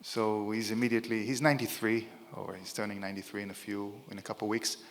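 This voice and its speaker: A man's voice: on the low side, flat delivery, fast talker, creaky, a little hiss or hum. A middle-aged man with an Israeli accent gives an informal, joking conference speech with many fillers to a large room. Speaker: keynote speaker. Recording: reverberant event hall.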